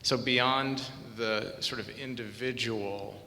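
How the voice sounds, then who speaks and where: A man's voice: steady tone, slow pace, sharp tone. A man in the audience asking a panel a question, searching for words as he goes. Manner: searching, hesitant phrasing. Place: event hall. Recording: echoey hall, faint rumble.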